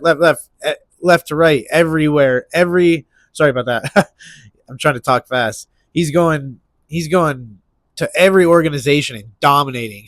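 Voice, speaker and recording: A man's voice: a little high, fairly bright tone, dry recording. A man with a stuffy nose hosting casual solo sports talk, rambling with lots of restarts and fillers. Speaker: MMA commentary channel host.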